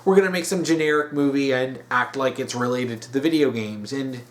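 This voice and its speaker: A man's voice: medium pitch, a little fast. A man giving casual opinion commentary in an exasperated, emphatic way, drawing out the words he stresses. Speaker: video commentator.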